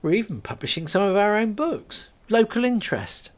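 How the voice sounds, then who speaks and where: A man's voice: sits high, big pitch swings, normal speed, voice pushed up. A man voicing a young woman, pleading urgently for help in one scene and chatting eagerly in another. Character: frightened young woman, later eager shop owner.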